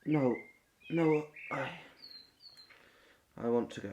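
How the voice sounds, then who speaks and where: Slow, halting father played by an actor. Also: mid-range voice, darkish tone, muffled recording, slight background noise, lightly acted. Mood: weary. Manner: halting, hesitant. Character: weary father home from war, hesitant, tender. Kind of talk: amateur audio drama acting.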